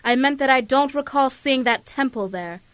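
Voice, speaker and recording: An actress playing a proud, imperious superheroine who speaks formally and haughtily in an audio drama. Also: female voice, high-pitched, normal speed, a bit bright, dry recording. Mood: haughty. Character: proud, imperious female weather-goddess superhero.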